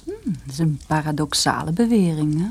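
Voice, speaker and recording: A woman playing a seductive, nervy widow in a radio play, with a husky, breathy voice and a languid, coquettish manner. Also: deep voice, lively intonation, a little fast, a little mellow, full character voice. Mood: flirtatious.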